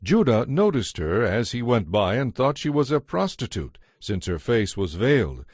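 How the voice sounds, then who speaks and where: A man's voice: medium pitch, very animated, normal speed, a little mellow, husky, no echo, clean studio sound. A man giving a scripted narration as the narrator of a dramatized audio Bible.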